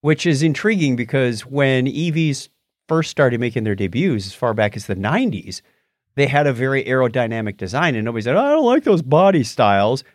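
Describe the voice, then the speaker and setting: A male podcast host in casual news-chat mode. His pitch moves around in a lively way, and his delivery is conversational and halting, with a mildly skeptical edge. The sound is close and dry. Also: no room sound.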